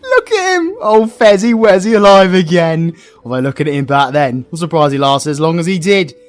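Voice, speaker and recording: Cocky British male villain played by a woman, low and very animated, crude comic delivery for audio drama. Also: moderate pace, soft background music. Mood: excitable, mocking. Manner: crude, sarcastic, excitable. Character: cocky, foul-mouthed male villain forced into Scrooge's role.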